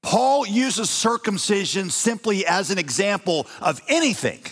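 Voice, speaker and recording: A man preaching a sermon as pastor, animated and folksy with touches of humor. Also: high-pitched, a little slow. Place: large church auditorium. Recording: long reverb of a church hall.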